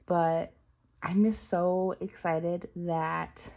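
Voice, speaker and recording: A chatty young woman vlogging, her voice a little strained as she gets over a cold. She rambles along with plenty of ums, pitched a bit low. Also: level tone, very slow, gravelly, a little mellow. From Utah. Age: 20s. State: recovering from a cold, slightly strained voice. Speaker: planner vlogger. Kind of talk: casual storytelling vlog.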